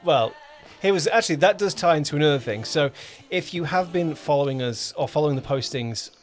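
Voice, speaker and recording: A man's voice: fairly high pitch, expressive, brisk. A man co-hosting a podcast as a producer and director, chatting casually in a loose, hesitant, conversational way. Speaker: podcast host, audio drama producer and director. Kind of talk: casual two-person podcast chat.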